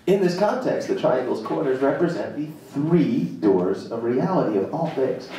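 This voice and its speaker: A man giving a live dramatic reading of an essay, deliberate and emphatic, pausing between short phrases. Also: medium pitch, expressive, dark tone. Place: live reading at a jazz club. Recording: echoey room, far from mic, faint noise.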